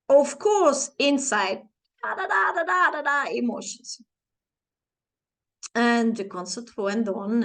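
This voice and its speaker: A woman in her forties, a native Flemish speaker with a Flemish accent, telling a personal story to camera with halting, searching phrasing and playful sound effects. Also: a little high. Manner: halting, searching for words, many restarts and fillers. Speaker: channel host, life coach.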